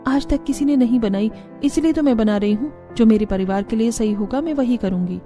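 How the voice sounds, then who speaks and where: A woman plays a caring young village mother with a fairly high, soft-edged voice. She is earnest and resolute in a cartoon story, with music playing underneath. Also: brisk, natural voice. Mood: determined. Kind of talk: Hindi cartoon story voice acting.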